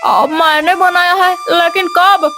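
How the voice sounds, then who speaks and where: A woman's voice: very high voice, fairly even intonation, unhurried, pushed and bright, voice pushed up, exaggerated voice. Doting village father played by a woman, humble and earnest cartoon dialogue, music bed. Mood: astonished. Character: gentle humble village potter, a doting man.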